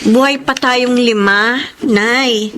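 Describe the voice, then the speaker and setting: A high-pitched, earnest young breadwinner of a family in a radio drama. She is resolute and emotional, with faint noise behind her. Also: fairly even intonation, slow pace, pushed and bright. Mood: tearful encouragement. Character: resolute, hardworking eldest daughter supporting her family. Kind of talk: Tagalog radio drama acting.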